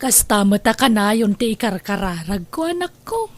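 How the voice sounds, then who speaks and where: A woman playing a gentle, worried elderly grandmother in a radio drama, with a Filipino accent and a patient, admonishing manner. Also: mid-range voice, very animated, moderate pace. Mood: worried, caring. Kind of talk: Ilocano radio drama acting.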